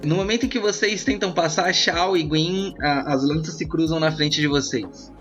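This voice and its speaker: A man acting as game master, improvising roleplay narration in an informal, conversational, halting way. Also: fairly high pitch, a bit bright. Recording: slightly muffled, band-limited audio with music. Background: background music.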